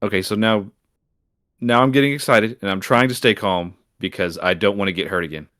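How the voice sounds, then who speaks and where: A man with a somewhat low voice co-hosts a podcast. His delivery is conversational and casual. The sound is dry, with little room on it. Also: brisk, a bit bright, dry recording. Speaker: podcast co-host. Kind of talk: casual podcast talk and announcements.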